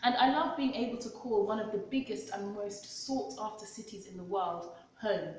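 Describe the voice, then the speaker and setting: Young woman, london accent, expressive essay reading, heavy hall echo, distant mic. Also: medium pitch, a little hiss or hum. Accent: London English. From London, England. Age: 20s. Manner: expressive reading with acted-out dialogue. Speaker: author and vlogger. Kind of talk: reading a personal essay aloud. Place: large echoey cultural hall.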